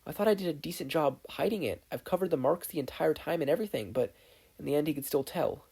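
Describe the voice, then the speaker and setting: A narrator voicing an upset, gentle, self-doubting teenage boy who speaks hesitantly and stammers. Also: medium pitch, expressive, fast, creaky, breathy edge, voice pushed up. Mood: distraught.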